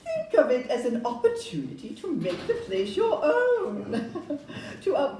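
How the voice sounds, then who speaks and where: Actress as animated, persuasive Victorian estate agent, roomy. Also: female voice, high-pitched, a little slow, heavily acted. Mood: apologetic selling. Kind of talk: stage performance of an audio play. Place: live indoor performance in a club room. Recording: roomy distant live recording, background noise.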